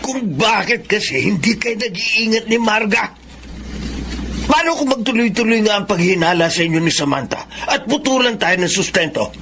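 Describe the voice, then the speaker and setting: A man's voice: high-pitched, expressive, moderate pace, heavy background noise. A man playing a mercenary, grumbling middle-aged father in a Filipino drama, scolding as he talks. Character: scolding, mercenary father worried about money support. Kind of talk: Filipino radio-style drama acting.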